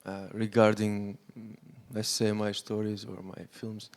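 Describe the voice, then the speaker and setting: Halting, even-toned Syrian man. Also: lowish voice. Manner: halting, searching for words. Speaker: filmmaker and writer on a panel. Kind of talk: unscripted panel answer.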